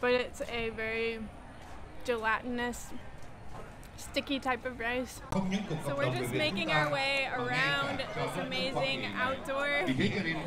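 A woman's voice: high-pitched, lively intonation, takes it slowly, long pauses. A young woman hosting a casual food vlog, speaking with upbeat enthusiasm. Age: young adult. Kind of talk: casual travel vlog narration. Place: outdoor night market. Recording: busy outdoor market crowd, music behind.

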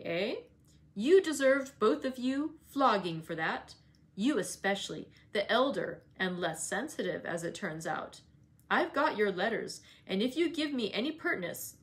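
A woman reading the part of a scornful middle-aged male villain, speaking slowly and forcefully with a mocking edge. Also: medium pitch, close to an everyday voice.

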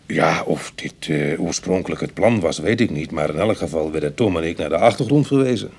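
A man's voice: deep voice, animated, fairly quick, vocal fry, darkish tone, breathy edge, heavily acted. An actor playing a self-deprecating ex-burglar in his thirties, talking dryly and colloquially in a Dutch radio play. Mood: uneasy, wry. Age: 30s.

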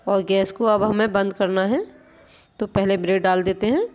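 A woman hosts a home cooking lesson, narrating each step patiently as she works at a gas stove. She talks in a plain, even, mid-pitched voice and keeps drawing attention to what is happening in the pan, recorded on a phone in a home kitchen. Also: normal speed. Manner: patient, explanatory, keeps pointing things out. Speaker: home cooking channel host.

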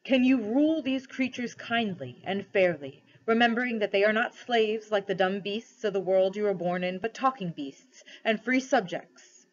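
A woman's voice: mid-range voice, expressive, moderate pace, hardly any pauses, highs cut off, close to an everyday voice. A woman voicing a great male lion king from a storybook, grave, wise and commanding, with formal speech. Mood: grave questioning.

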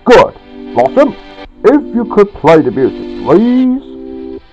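A man's voice: high-pitched, big pitch swings, slow pace, mellow, highs cut off, soft background music, voice pushed up, exaggerated voice. A man voicing an indignant, bossy male friend in an amateur storybook telling. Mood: coaxing. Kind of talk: amateur storytelling with acted dialogue.

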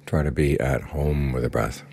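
An older man, a Buddhist monk, giving a slow, calm, plainspoken guided meditation talk. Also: very deep voice, creaky, a little hiss or hum. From United States.

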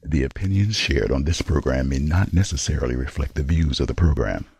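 Extremely deep, gravelly male announcer. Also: fairly quick, darkish tone, no echo. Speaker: disclaimer announcer. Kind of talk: scripted announcement.

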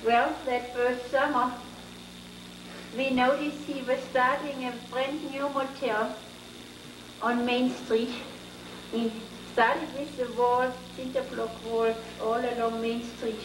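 A woman tells a careful, measured story as a panelist. Her voice is on the high side and sounds distant in a reverberant room. Noise hums behind her. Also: little pitch movement. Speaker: panelist, former lodge owner. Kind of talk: casual storytelling. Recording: echoey room from far off with background noise.

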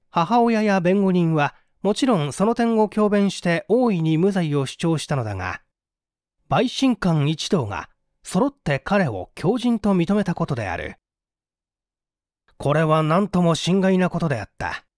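A man's voice: on the high side, darkish tone, dry recording, clean studio sound. A man reading a mystery story as an audiobook narrator, in a formal, theatrical style.

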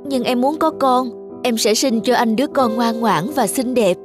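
A woman's voice: on the high side, moderate pace, music in the background, lightly acted. A woman voicing a fragile but determined young wife in a cartoon, tender and pleading.

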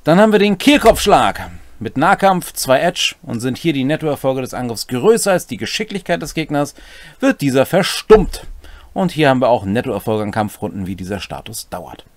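A man's voice: medium pitch, sing-song, normal speed, dry recording. A middle-aged man casually explaining tabletop game rules, with playful, wry asides. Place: small indoor home room.

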